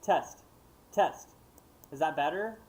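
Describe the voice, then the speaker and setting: A man thinking aloud in a casual, unscripted software demo, hesitant and muttering to himself as he trails off. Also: on the high side, slow. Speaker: livestream host demonstrating animation software. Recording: noisy mic with clicking in background.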